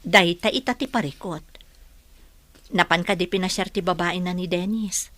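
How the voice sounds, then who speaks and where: A woman's voice: on the low side, fairly even intonation, normal speed, a bit bright, dry recording, close to an everyday voice. A woman playing a concerned, motherly older woman in conversational radio drama. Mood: concerned, probing.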